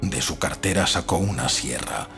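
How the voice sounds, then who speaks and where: A man's voice: sits low, expressive, normal speed, creaky, sharp tone. A man reading a gothic horror audiobook as a scripted, dramatic narration. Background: faint music.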